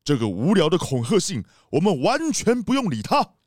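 A grand, animated city mayor. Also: male voice, high voice, moderate pace, no echo, clean studio sound, full character voice. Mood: firm, reassuring. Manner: grand, reassuring host's address. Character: jovial, reassuring city mayor hosting a cruise. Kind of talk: children's mystery audio drama.

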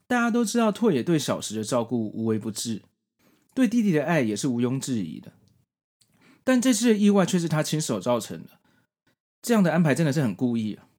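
A man's voice: mid-range voice, a little mellow. A man in his forties with a Taiwanese Mandarin accent, hosting a podcast and reviewing manga in conversational narration. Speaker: podcast host.

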